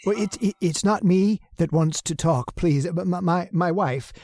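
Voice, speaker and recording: A woman's voice: on the low side, unhurried, dark tone. A woman playing a frightened, henpecked husband in a radio horror drama, stammering and hesitant, with a pleading manner. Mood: nervous. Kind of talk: old-time radio horror drama acting. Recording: reverberant hall sound.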